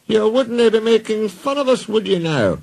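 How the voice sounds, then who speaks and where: A man voicing a cheeky male steam engine twin in a Scottish accent, with a sly, teasing manner, over loud music. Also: on the high side, huge pitch swings, moderate pace, voice pushed up.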